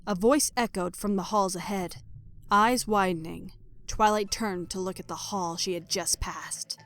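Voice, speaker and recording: A woman reads a dramatic scripted story as narrator, letting phrases fall into a hushed breath. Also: medium pitch, unhurried. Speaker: narrator of a fan fiction audio production. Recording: background music under voice. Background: music.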